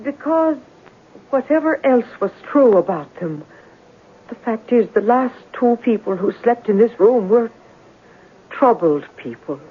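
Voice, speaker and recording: A woman's voice: a little high, expressive, a little slow, slightly rough. An actress playing an uneasy, evasive working-class landlady who stammers and talks in a folksy, ungrammatical way, in a 1970s radio drama. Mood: hesitant, uneasy. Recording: muffled narrow-band old radio broadcast.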